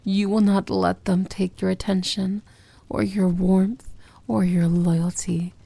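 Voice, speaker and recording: Woman voicing a fantasy villain princess, ASMR romance, breathy half-whisper, slow and deliberate, mellow, possessive, commanding yet tender. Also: mid-range voice, flat delivery. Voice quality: breathy, often dropping into a whisper. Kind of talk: ASMR romance fantasy roleplay, intimate address.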